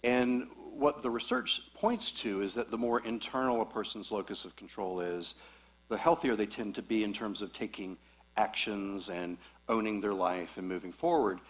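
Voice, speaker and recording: Low-voiced man explaining psychology. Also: normal speed, poor audio. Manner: clear, explaining concepts patiently. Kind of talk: explanatory talk at a church event.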